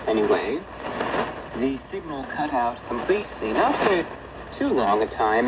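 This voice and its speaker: Male radio host, mid-pitched, presenting to listeners; muffled shortwave reception buried in heavy noise. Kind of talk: radio broadcast reading listener check-ins and announcements.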